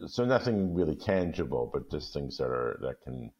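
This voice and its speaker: A man chatting casually as a guest, halting and repeating words as he thinks aloud. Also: extremely low pitch, sing-song, a little mellow, breathy edge, highs cut off. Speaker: guest story reader in discussion. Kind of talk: casual conversation.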